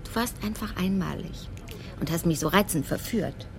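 An actress playing a commissioner's older wife, affectionate and inquisitive, in a radio crime drama, speaking in a familiar way. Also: female voice, on the low side, moderate pace, creaky, noisy background. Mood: fond, curious. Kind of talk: German radio play acting, intimate dialogue.

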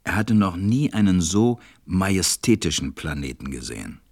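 A man with a deep, low-pitched voice narrates a radio play. He reads scripted storybook prose as the narrator of the tale. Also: big pitch swings, normal speed, creaky, darkish tone.

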